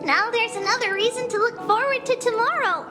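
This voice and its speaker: Tiny, very high-pitched little girl. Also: brisk. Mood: hopeful. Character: little girl prophet, sweet, earnest, ancient soul in child form. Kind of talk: video game story dialogue. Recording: music behind the voice.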